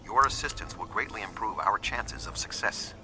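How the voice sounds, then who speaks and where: A man playing an older, composed alien admiral in a video game, formal, measured and unemotional. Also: on the low side, noisy background, close to an everyday voice. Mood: calm, grave.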